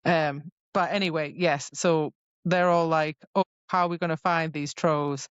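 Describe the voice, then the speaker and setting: A woman's voice: low voice, fairly even intonation, measured pace, clear, resonant tone, no room sound, muffled recording. A woman co-hosting a podcast in a casual book discussion, retelling things animatedly with mock quotes. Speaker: podcast co-host.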